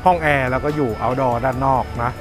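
Casual man explaining over clear music. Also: medium pitch, steady tone, brisk. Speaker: vlog co-host. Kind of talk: casual explaining vlog chat.

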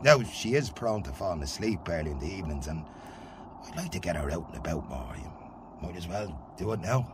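Devoted working-class Irish son for radio drama: hesitant, bashful, low-leaning pitch with lively swings. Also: male voice, noisy background. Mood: wry, reluctant. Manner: hesitant, halting, self-deprecating. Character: devoted, bashful working man living with his ageing mother. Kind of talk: radio play acting, naturalistic dialogue.

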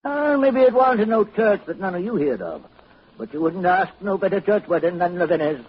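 An actor playing a sly, boastful old New backwoods wizard with a folksy, taunting way of talking, in 1940s radio style. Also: male voice, sits high, expressive, moderate pace, breathy edge. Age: older. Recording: muffled, noisy 1940s radio recording.

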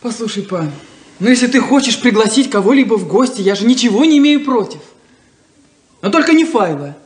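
An actress playing a proud, insecure young daughter in a 1970s Soviet radio play, with a theatrical, defensive, wounded delivery. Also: female voice, a little high, lively intonation, fairly quick, natural voice. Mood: wounded protest. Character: proud, insecure, plain-spoken unmarried daughter. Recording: roomy 1970s radio drama recording.

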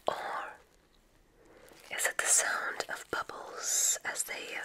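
Woman playing a slow, whispering witch examiner. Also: sharp tone. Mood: mysterious, soothing. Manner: slow, deliberate, encouraging, theatrical phrasing. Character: kindly witch examiner testing a magic school applicant. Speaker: ASMR performer. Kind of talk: ASMR roleplay, whispered.

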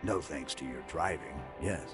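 A man playing a stoic armored super-soldier in a video game, terse and deadpan. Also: extremely low pitch, moderate pace. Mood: stoic, dry. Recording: game audio under loud music.

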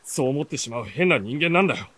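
An actor playing an earnest, caring young warrior companion with a husky voice in a radio drama. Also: male voice, mid-range voice, animated, quick pace, a bit bright, no room sound. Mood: apologetic. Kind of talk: Japanese radio drama acting.

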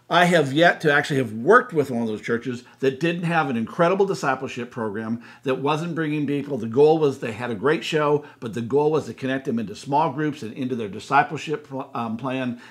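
A man in his sixties with an American accent, explaining things conversationally and emphatically to a co-host and camera, often repeating phrases for stress. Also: medium pitch. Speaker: church consultant and co-host. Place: indoor room, seated beside co-host.

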